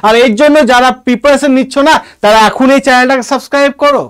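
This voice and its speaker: Very high-pitched Bengali man teaching class. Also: a bit bright, no room sound, studio quality. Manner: repetitive, emphatic, dictating points. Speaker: exam-prep history teacher. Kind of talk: online class lecture, addressing students informally.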